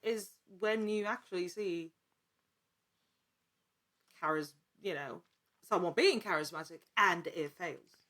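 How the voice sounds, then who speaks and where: Woman, lowish pitch, interview guest, casual conversation, animated anecdotal delivery. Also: slow, long pauses. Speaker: podcast guest, actor and producer in actual play.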